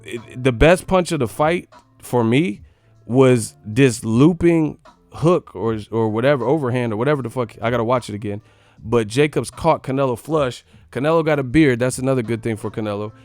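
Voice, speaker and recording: A man's voice: medium pitch, normal speed, a little mellow, no room sound, faint music behind. An American man hosting a live boxing stream, giving casual, opinionated analysis in a blunt, slangy way with some swearing.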